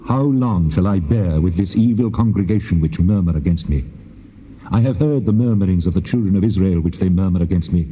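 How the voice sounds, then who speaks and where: A man's voice: low voice, a little fast, dark tone, lightly acted. A man playing a wrathful, majestic God in a dramatized scripture recording, speaking in solemn declamation. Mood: stern, wrathful. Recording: muffled, long cavernous reverb.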